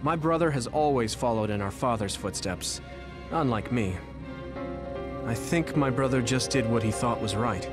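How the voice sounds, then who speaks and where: An actor playing a resolute, earnest young soldier in an anime game, speaking plainly. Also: male voice, mid-range voice, moderate pace, close to an everyday voice. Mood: candid, calm. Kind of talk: English-dubbed anime game acting. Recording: voice over loud music score.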